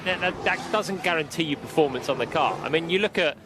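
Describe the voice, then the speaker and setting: A man's voice: on the high side, quick pace, over music. A man working as a motorsport pundit, giving conversational analysis during live commentary.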